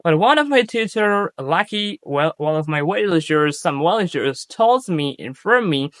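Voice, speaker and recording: Earnest young Bangladeshi-accented man, Bengali native, coaching English in a vlog; fairly high voice, dry close sound, restarts and repeats himself. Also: lively intonation, measured pace, fairly bright tone, no echo. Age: young adult. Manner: earnest, repetitive, often restarting and correcting himself. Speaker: English-speaking coach, channel host. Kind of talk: casual tips vlog.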